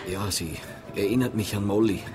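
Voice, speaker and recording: An actor playing a sentimental, lovesick painter in a radio drama, speaking in an earnest, flowery way. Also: male voice, lowish voice, moderate pace, over music, lightly acted. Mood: smitten.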